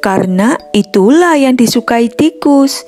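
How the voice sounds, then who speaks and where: A determined old professor and grandfather from a children's storybook, voiced by a woman. The voice is on the high side. Music plays underneath. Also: lively intonation, measured pace, darkish tone. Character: elderly grandfather professor, determined pest-fighter. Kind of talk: storybook character voice. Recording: music behind the voice.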